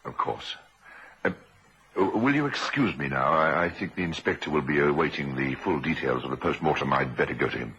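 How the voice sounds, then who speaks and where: A courteous, uneasy doctor in an old radio play, with an extremely low voice that still cuts through sharply. He speaks politely and hesitantly, on a dull, hissy recording. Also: animated, a little fast, a bit bright, breathy edge, heavily acted. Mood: polite, guarded. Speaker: actor. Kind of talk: vintage radio drama acting.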